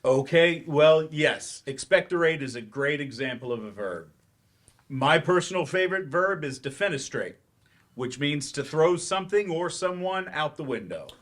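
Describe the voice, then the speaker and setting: A man acting in a staged play reading as a patient, slightly awkward English teacher who explains in measured steps with hesitant asides. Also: a little high, expressive, fairly bright tone. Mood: instructive.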